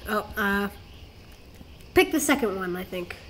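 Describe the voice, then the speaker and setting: A woman's voice: mid-range voice, expressive, measured pace, a bit bright, a little hiss or hum. A woman giving a casual game walkthrough, talking the viewer through steps in a rambling, self-correcting way. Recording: game music playing behind the voice.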